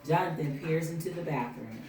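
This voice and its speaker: A woman's voice: deep voice, a little fast, a little mellow. A woman reading out scene headings and stage directions as scripted narration at a film table read. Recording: roomy, distant mic.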